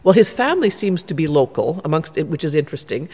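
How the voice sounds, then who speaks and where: A man speaking as a church historian, explaining history in a measured, knowledgeable way inside a church. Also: a little high, very animated, dark tone, slightly rough, in a large hall. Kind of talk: explanatory interview answers.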